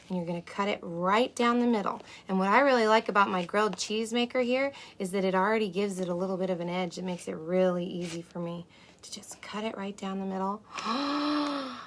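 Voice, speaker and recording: A woman playing an excitable, gentle unicorn who presents a children's cooking show, talking to young kids with wonder and breathy asides. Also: mid-range voice, normal speed, natural voice. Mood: careful, excited. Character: excitable, gentle, impatient female unicorn hosting kids' show. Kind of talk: children's cooking demonstration to camera. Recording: clear steady background noise.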